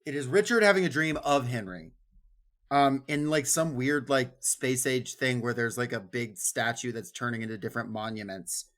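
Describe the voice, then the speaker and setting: Informal male podcast host, medium-pitched voice. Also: moderate pace, fairly bright tone. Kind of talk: casual book discussion.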